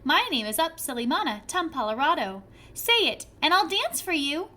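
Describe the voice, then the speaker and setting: A high, bright little boy's voice bubbling with excitement, calling out in a sing-song lilt, as a woman reading a storybook puts him on. He leaps all over his pitch. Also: moderate pace. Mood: excited. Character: eager little village boy.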